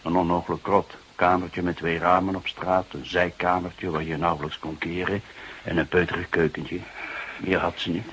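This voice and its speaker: An actor playing a sceptical, patient older police detective in a radio drama, with a measured, musing delivery. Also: male voice, low voice, level tone, a bit bright. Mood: calm, probing. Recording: noisy radio drama recording.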